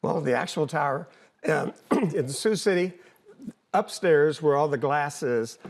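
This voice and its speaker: An older man, an interview guest, explaining things conversationally in a plain, halting way with repeated words. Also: fairly high pitch, gravelly. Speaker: interview guest, former air traffic controller and pilot.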